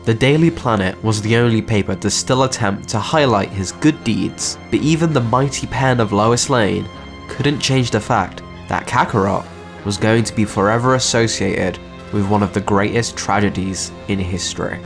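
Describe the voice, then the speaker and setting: A man narrates a dramatic scripted story. His voice is on the low side, and faint music plays beneath it. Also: moderate pace.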